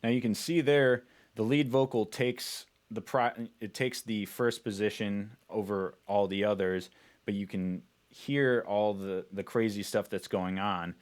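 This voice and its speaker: Deep male voice, music producer, casual walkthrough, informal explaining. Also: steady tone, measured pace. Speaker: music producer presenting a tutorial.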